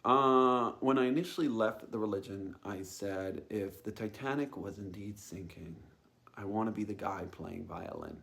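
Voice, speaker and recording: A man's voice: fairly low pitch, normal speed, vocal fry, fairly bright tone. A man giving a reflective personal monologue as a host, musing tentatively and thinking aloud. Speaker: podcast host.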